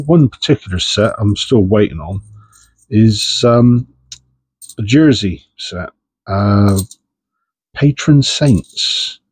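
A man's voice: fairly low pitch, darkish tone, slightly rough, no echo, rough recording. A man with a head cold, sniffing as he chats casually while sorting through coins for his channel. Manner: chatty, understated, self-deprecating. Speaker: coin-hunting channel host.

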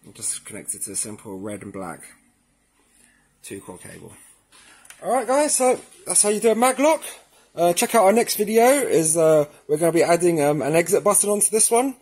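A man's voice: sits high, very animated, normal speed, a little mellow, slightly rough. A man presenting an installation tutorial in an informal, casual way, talking directly to the viewers. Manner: casual, addresses viewers as guys. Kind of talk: informal instructional demonstration.

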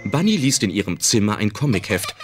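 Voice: medium pitch, sing-song, a little fast, over music. A narrator reading scripted narration for an audio drama.

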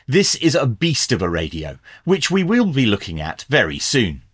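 Middle-aged man with lively, swooping intonation. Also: medium pitch, normal speed, husky, dry recording, clean studio sound. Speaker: YouTube host reviewing radio gear. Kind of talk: scripted product review with hands-on commentary. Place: small indoor electronics workshop.

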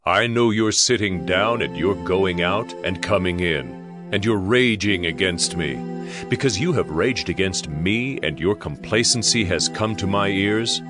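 A man's voice: low voice, animated, unhurried, over music. A man playing a stern prophet who solemnly pronounces divine judgment in a dramatized scripture recording. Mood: stern, accusing. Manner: solemn, declamatory.

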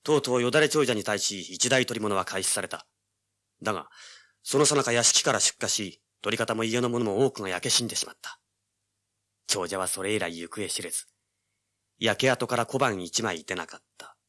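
An actor playing a brash young male treasure hunter in an audio drama, with a rough, slangy, hard-boiled delivery. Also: fairly low pitch, normal speed, sharp tone. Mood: hard-boiled storytelling. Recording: noisy old cassette audio drama.

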